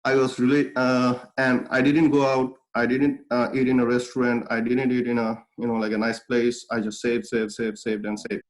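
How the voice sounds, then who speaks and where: Man from Bangladesh, Bengali native, young adult, interview guest, mid-pitched voice, earnest, halting with fillers. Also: flat delivery, low-quality recording. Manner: many fillers and restarts, earnest and reflective. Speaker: guest, clothing brand founder. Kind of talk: casual interview, telling his life story.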